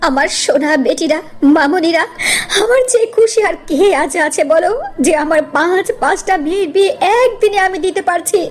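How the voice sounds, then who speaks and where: Woman voicing a very high-pitched, tearful cartoon mother. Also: moderate pace. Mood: tearful, tender. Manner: plaintive, affectionate, emotional. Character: doting, tearful mother of five brides. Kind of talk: cartoon story character acting.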